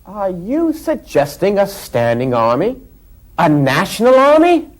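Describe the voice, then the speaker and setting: An actor playing a combative eighteenth-century delegate, declaiming rhetorical challenges in a period drama. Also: male voice, high voice, wildly swooping pitch, unhurried, full character voice. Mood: alarmed, scornful. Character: fiery 18th-century delegate hostile to standing armies. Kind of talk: period stage-like debate acting.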